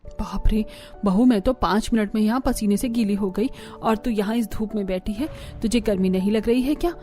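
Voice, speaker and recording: A woman's voice: medium pitch, normal speed, dark tone, soft background music, close to an everyday voice. A woman voicing a scolding, exasperated middle-aged mother-in-law in a Hindi cartoon family story. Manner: nagging, exclamatory.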